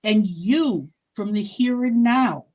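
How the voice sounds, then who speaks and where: Brief: a woman as a measured, breathy otherworldly spirit. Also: medium pitch, expressive, darkish tone. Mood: solemn. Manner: very slow, measured. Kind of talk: amateur radio play acting.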